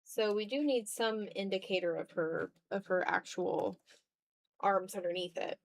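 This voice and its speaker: A woman's voice: mid-range voice, a little slow, vocal fry. A woman livestreamer chatting casually while drawing, thinking aloud in fragments with a self-deprecating manner. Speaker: art livestreamer.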